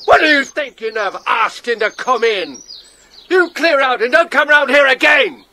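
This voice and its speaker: Shrill, cross old caretaker. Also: male voice, normal speed, bright, forceful tone, slight background noise, full character voice. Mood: cross, suspicious. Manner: gruff, snappish, suspicious. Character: angry, suspicious old male caretaker shooing children away. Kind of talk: children's audio drama acting.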